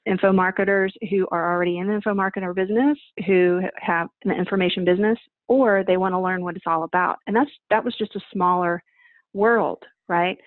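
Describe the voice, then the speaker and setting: A woman's voice: mid-range voice, steady tone, brisk, dry recording. A woman in her forties, a guest on a video call interview, explaining event planning with emphatic examples and rhetorical questions. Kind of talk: conversational interview answers. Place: home office, indoors. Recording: dry, tinny phone-like video call audio.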